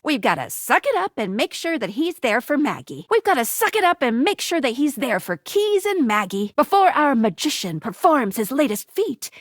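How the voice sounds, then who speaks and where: A young female guide character from a dating game, helpful and peppy. Her voice sits high and leaps around with theatrical, sing-song bounce. The recording is close and very dry, with scripted lines delivered in a bubbly way. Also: dry recording. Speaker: video game voice actor. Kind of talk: scripted game hint dialogue.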